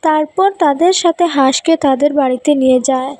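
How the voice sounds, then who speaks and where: A woman's voice: sits high, fairly quick, a little mellow. A woman reading scripted narration for a children's story.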